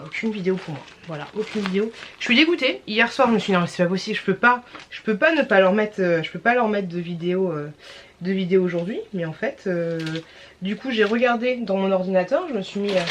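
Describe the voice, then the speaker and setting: Young woman w a cold rambling on her vlog, stuffy and tired, tons of umms, bit of hiss behind. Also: medium pitch. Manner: rambling, lots of fillers and restarts. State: has a cold. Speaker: vlogger. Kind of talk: casual vlog chat.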